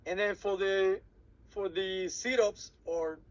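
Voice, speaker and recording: A man with a Puerto Rican Spanish accent, Spanish his first language, talks cadets through an exam in English. His voice sits high for a man, and he halts and repeats words as he searches for them. The sound is muffled and lo-fi. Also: steady tone. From Puerto Rico. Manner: halting, many restarts and repeated words. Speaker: cadet program instructor. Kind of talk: workshop briefing.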